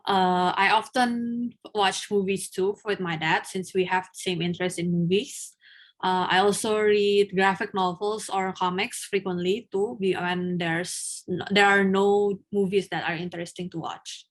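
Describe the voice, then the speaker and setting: A young woman in a mock English speaking test, answering and then asking questions, often pausing with filler sounds. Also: medium pitch, fairly even intonation. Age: young adult. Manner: hesitant, frequent filler sounds. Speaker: test candidate, then examiner.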